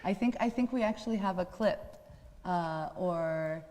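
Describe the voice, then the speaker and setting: An American woman hosting a casual interview, agreeable and quick with short affirmations. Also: on the low side, a little mellow. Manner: agreeable, chuckles often, brief affirmations. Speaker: show host interviewing a guest. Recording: long hall reverb.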